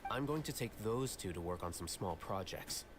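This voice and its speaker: Low-voiced, cool, aloof scholar. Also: male voice, lively intonation, noisy background. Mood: detached. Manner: measured, cool, deliberate. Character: calm aloof young male scholar, calculating. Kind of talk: anime game dialogue.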